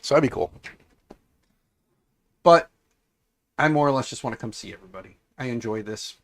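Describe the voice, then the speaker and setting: A man hosting a casual livestream, congested and raspier than usual, rambling and repeating himself as he talks to his chat. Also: medium pitch, lively intonation, normal speed, fairly bright tone. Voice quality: raspy, congested. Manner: mild swearing, offhand. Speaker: livestream host.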